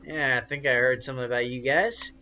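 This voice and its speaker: A man's voice: mid-range voice, steady tone, brisk, bright, forceful tone, a little hiss or hum. Man as a hesitant bearded barkeep, slightly muffled. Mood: polite, casual. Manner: hesitant, plain-spoken. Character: thick-bearded male human tavern barkeep, laid-back and polite.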